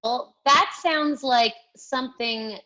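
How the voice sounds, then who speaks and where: A woman plays a comedy panel game over a video call. Her tone is bright and forward, and she talks slowly and hesitantly, working out her jokes as she goes. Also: high-pitched, dull audio. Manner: hesitant, thinking aloud. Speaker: game show contestant. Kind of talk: casual comic banter. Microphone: video call microphone.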